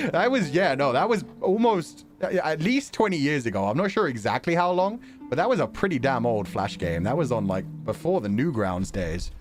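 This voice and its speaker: A man's voice: on the high side, big pitch swings, normal speed, few pauses, music in the background. A young adult man streaming a visual novel, reading narration aloud and reacting with casual, sweary commentary. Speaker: game streamer.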